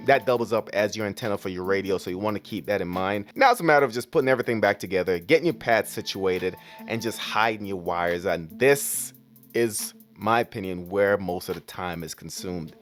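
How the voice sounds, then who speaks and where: A man's voice: lowish voice, brisk, soft background music. A man giving a casual, informal voice-over as a vlogger, walking step by step through a product unboxing and setup.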